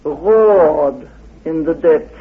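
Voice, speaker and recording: A man with a high, rather slow voice explains things with emphatic, halting repetition. He sounds like an impassioned guest in a discussion. The recording is narrow and tinny, like old radio. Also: breathy edge. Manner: emphatic, halting, repeats phrases for weight. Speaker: interviewed guest.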